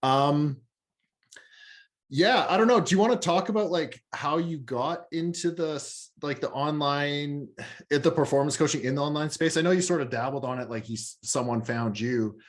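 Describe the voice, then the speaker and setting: A man's voice: a little high, normal speed. A man co-hosting a podcast in casual chat, hesitant, with lots of fillers. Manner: hesitant, filler-heavy, friendly. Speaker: podcast co-host.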